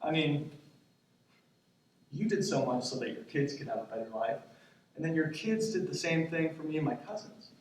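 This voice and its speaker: A man in his twenties playing an anxious grandson in a stage monologue, talking in a halting, conversational, self-deprecating way. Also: on the high side, darkish tone, natural voice. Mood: earnest, affectionate. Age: 20s. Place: stage in a theater, recorded from the audience. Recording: echoey theater, distant mic, faint noise.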